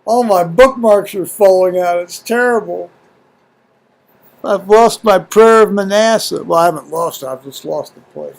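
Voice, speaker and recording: American guy rambling on a homemade vlog, really slow and halting, gravelly fry, folksy. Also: sits high, rough recording. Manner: halting, folksy, talks to himself. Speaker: video blogger reading scripture to viewers. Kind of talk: rambling casual chat with scripture reading. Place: at home, indoors.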